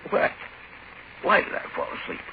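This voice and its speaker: A man playing a groggy, flustered, timid fellow, halting and meek, in a 1940s radio horror play. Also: lightly acted. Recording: muffled, very noisy 1940s radio recording.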